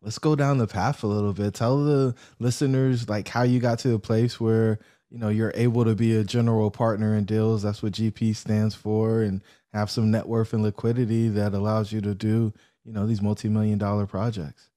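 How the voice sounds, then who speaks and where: Brief: male host with a warm, dark tone, easy questioning. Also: fairly low pitch, flat delivery, normal speed, hardly any pauses. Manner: encouraging, conversational questioning. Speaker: podcast host. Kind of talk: interview questions.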